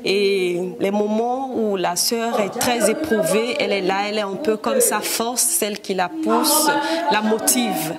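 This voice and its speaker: Beninese actress, earnest and explanatory, interviewed with loud music behind. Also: female voice, medium pitch, a little slow. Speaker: stage actress. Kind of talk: interview answers.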